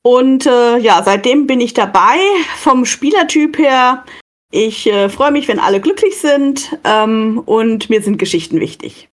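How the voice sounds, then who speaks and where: A middle-aged woman from Germany speaks as an interview guest. Her voice is slightly high. She answers thoughtfully, pausing often with fillers. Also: animated. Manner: thoughtful, hesitant with many fillers. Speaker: guest professor. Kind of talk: casual interview.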